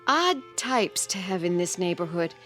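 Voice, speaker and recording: Careful suburban mother, middle-aged, animated higher voice, radio drama with light music behind. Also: normal speed. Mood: uneasy. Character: anxious, soothing suburban wife and mother. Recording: music underneath the voice.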